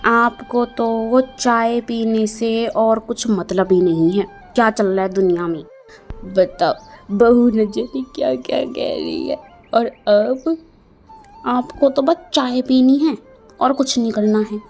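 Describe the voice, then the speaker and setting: A quarrelsome middle-aged mother-in-law from a storybook tale, complaining in a raised, indignant voice, as a woman acts her out. She talks slowly, and soft music plays behind. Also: a little high. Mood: irritated.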